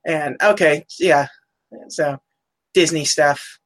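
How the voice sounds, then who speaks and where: A woman co-hosting a casual chat about old cartoons, chatty and quick to laugh, looking things up as she talks. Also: deep voice. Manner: chatty, laughs often, looks things up aloud. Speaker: podcast co-host.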